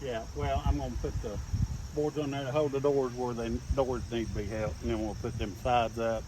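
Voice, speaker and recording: A man's voice: mid-range voice, little pitch movement, a little fast, a crowd in the background, rough recording. A plain-spoken man chatting casually while working on a building project outdoors.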